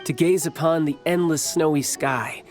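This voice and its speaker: A man's voice: fairly high pitch, normal speed, a little mellow, soft background music, natural voice. A man voicing a cocky, friendly young warrior in a video game, with a teasing, confident manner. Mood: fond, eager. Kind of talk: video game character voice lines.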